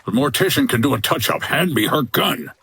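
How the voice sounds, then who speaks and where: An actor playing a cool-headed tough boat pilot, terse, wry and commanding, in a radio drama parody. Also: male voice, mid-range voice, expressive, fairly quick, gravelly, heavy background noise. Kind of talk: old-time radio detective drama parody.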